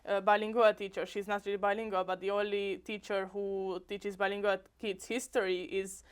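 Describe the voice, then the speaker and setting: A woman's voice: mid-range voice, little pitch movement, normal speed, smooth. A young woman interviewing a teacher, chatty and a little hesitant. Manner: chatty, hesitant, with small laughs. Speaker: student interviewer. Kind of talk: casual interview.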